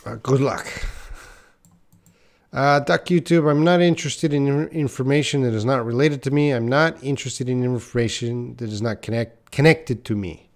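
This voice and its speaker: A man's voice: mid-range voice, steady tone. A man in his thirties from Western Canada with a light Canadian accent, patiently answering viewers' questions in a live English lesson. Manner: slow, patient explaining with many pauses. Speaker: English teacher. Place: small indoor room by a whiteboard.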